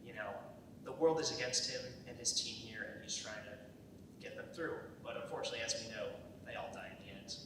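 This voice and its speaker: A young man speaking casually and unscripted as he presents a project to an audience. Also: fairly quick. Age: young adult. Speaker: executive producer presenting a student script. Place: large echoey indoor room, live event. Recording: very echoey hall, distant mic, rumbly noise.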